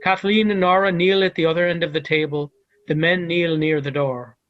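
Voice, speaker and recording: A man's voice: on the high side, fairly even intonation, normal speed, highs cut off. A man giving scripted narration, reading out the stage directions of a play.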